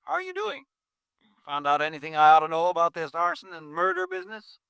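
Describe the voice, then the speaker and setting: Fretful husband, flustered and rambling, high jumpy clear voice, old radio drama. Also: male voice, wildly swooping pitch, a little fast, a bit bright, dry recording, full character voice. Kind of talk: 1950s radio drama acting.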